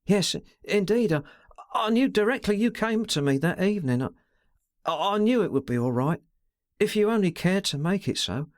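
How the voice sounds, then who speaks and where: A man's voice: sits high, animated, normal speed, dry recording, clean studio sound, voice pushed up. A man reading a novel aloud, voicing a distressed young woman under twenty who speaks hurriedly, stammers and pleads ardently.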